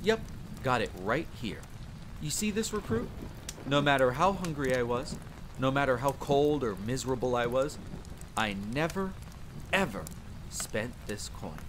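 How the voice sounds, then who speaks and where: A man's voice: mid-range voice, measured pace, pauses often, lightly acted. A man playing a young, brash but good-natured vampire hunter and former street thief, telling his story informally and theatrically in an audio roleplay. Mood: bitter resolve. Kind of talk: dramatic campfire storytelling roleplay. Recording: steady rain and fire ambience noise. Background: rain, rolling thunder, campfire ambience.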